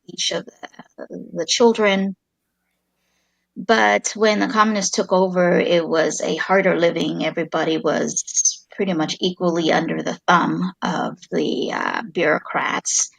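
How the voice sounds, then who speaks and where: A woman in her fifties from South Vietnam, a social worker, telling her story in an interview slowly and measuredly with many fillers. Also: lowish voice, gravelly, fairly bright tone, no echo, low-quality recording. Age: 50s.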